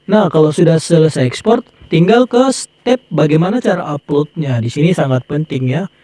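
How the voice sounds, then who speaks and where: A man's voice: fairly high pitch, animated, moderate pace, dark tone, dry recording. A young man giving a casual, chatty step-by-step editing tutorial, laid-back with lots of filler tags. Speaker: tutorial creator. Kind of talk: casual screen-recording tutorial.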